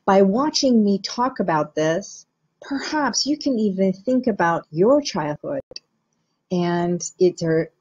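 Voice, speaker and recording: A middle-aged woman from Iran, a hypnotherapist, explaining the mind to viewers in a slow, measured, guiding way. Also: fairly low pitch, dark tone, husky, highs cut off. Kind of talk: teaching talk to camera.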